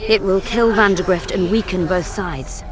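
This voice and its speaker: An actress playing a proud, defiant court sorceress in a video game, with a cool, imperious manner. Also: female voice, mid-range voice, normal speed, over music, natural voice. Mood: cool resolve. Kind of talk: dramatic video game acting.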